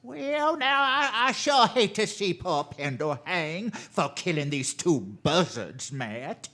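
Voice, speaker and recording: A man playing a dry, crusty American frontier doctor in a radio western, wry and drawn-out. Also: high voice, sing-song, pushed and bright, exaggerated voice. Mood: resigned. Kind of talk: old-time radio western acting.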